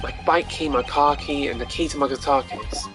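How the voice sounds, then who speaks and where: A woman's voice: extremely low pitch, normal speed, music in the background. A woman voicing a cool but self-pitying young male prosecutor in a video game, complaining theatrically. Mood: aggrieved.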